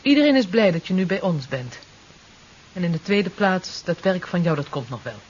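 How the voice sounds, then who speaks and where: A woman's voice: lowish voice, big pitch swings, moderate pace, a little mellow. An actress playing a reassuring, busy career woman in a 1970s radio play. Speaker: actor in a radio drama. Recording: dull, hissy 1970s radio drama tape.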